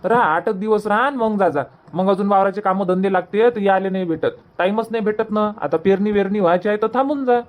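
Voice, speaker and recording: A woman's voice: mid-range voice, quick pace, few pauses, a little mellow, natural voice. A woman playing a hospitable, insistent young daughter-in-law in a cartoon comedy, coaxing and repetitive, with a Varhadi Marathi accent. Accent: Varhadi Marathi (Vidarbha). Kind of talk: homemade cartoon comedy dub.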